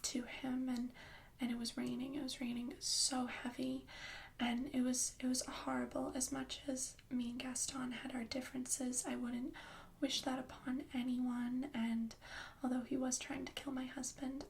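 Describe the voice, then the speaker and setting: A woman playing a gentle, bookish young fairy-tale heroine in a whispered ASMR roleplay, speaking in a soft unvoiced whisper with a chatty, reassuring manner. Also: high voice, level tone, unhurried, gravelly, slight background noise. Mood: sombre.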